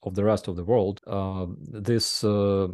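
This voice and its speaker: A man with a Ukrainian accent answers interview questions in English, stopping often to restart his sentences. His voice sits low. The sound is dry and close. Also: level tone, darkish tone, no room sound. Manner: halting, many fillers and restarts. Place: remote video call.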